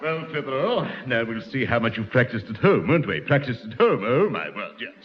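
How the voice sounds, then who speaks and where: A man's voice: medium pitch, very animated, fairly quick, lo-fi sound, natural voice. Guy playing a stuffy prim choirmaster, fusses and tuts, hissy old reverby radio. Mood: fussy. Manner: fussy, prim, repeats a pet catchphrase. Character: pompous middle-aged male church choirmaster. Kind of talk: 1960s radio sitcom acting.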